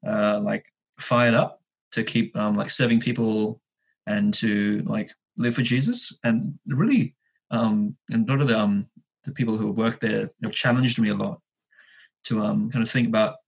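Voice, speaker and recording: A man in his twenties with a husky voice, chatting casually as a guest, hesitant and dryly joking. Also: fairly low pitch, moderate pace, low-quality recording. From Sydney, Australia. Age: 20s. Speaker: podcast guest, engineering student. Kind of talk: casual chat, telling his own story.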